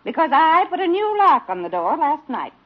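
An actress playing a prim, defiant medical office employee in an American radio drama, tart and emphatic, with an American accent. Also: female voice, high-pitched, big pitch swings, normal speed. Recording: dull vintage radio recording.

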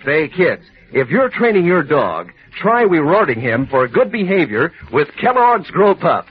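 A peppy man announcing ads on an old radio show, his husky voice bouncing up and down. The recording is dull and lo-fi. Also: on the high side, sing-song, a little slow. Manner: peppy, salesman-like. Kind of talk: 1940s radio ad reading.

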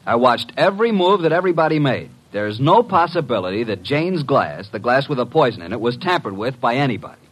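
An actor playing a wry, cheeky, streetwise detective's assistant with a breezy, mock-polite manner, in 1950s radio drama style. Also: male voice, medium pitch, sing-song, a little fast, hardly any pauses, husky, poor audio. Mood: peeved, skeptical.

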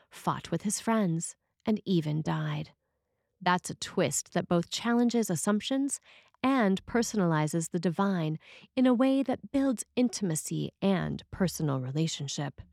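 Low-voiced woman reading a sermon. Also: vocal fry, a little mellow, no room sound, clean studio sound. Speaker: preacher reading a written sermon.

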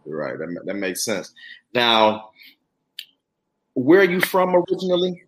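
A man's voice: mid-range voice, wildly swooping pitch, a little slow. A man in his forties hosting an interview in a conversational way. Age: 40s. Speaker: interviewer and host.

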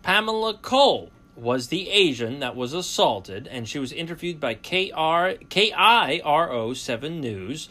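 A man's voice: on the high side, big pitch swings, measured pace, hardly any pauses, bright, forceful tone. Boastful sarcastic radio host man. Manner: boastful, sarcastic, self-mocking. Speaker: radio talk show host. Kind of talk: opinionated political talk radio monologue. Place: college radio studio.

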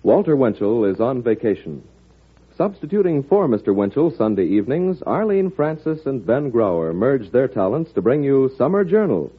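A man's voice: medium pitch, slightly rough. An American man announcing promotions on 1940s network radio, polished, formal and emphatic. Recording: tinny vintage radio broadcast.

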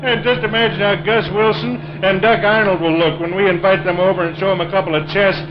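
An actor playing a jovial, blustery husband and treasure hunter in a 1940s radio drama. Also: male voice, high-pitched, flat delivery, fast, noisy background, close to an everyday voice. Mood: gleeful. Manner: blustery, jovial, brushing off worries. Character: hearty, dismissive husband, gleeful treasure hunter. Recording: muffled 1940s radio recording.

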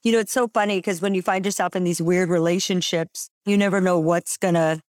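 A woman hosting a podcast in casual chat, bubbly and quick to laugh. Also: fairly low pitch, fairly even intonation, darkish tone, no room sound, clean studio sound. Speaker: podcast host.

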